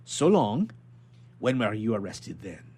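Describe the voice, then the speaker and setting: A man's voice: fairly low pitch, big pitch swings, takes it slowly, a little mellow, husky, full character voice. A man voicing an authoritative prison inspector, formal and questioning, in a dramatic audiobook reading. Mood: brisk questioning. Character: measured, authoritative prison inspector, aloof yet somewhat sympathetic man.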